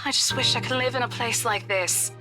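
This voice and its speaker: A breezy, teasing young woman realtor for a horror game, with a fairly high voice. She sounds impatient and dismissive of ghost stories. Game music plays behind her. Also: a little fast, bright, forceful tone, rough recording. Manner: teasing and impatient, brushing off worries. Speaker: voiced character in a horror visual novel.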